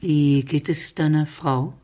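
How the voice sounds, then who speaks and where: An actress playing a lonely, genteel older aunt in a radio drama, gently pressing as she talks. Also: low-pitched, fairly even intonation, slow pace. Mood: wistful, coaxing.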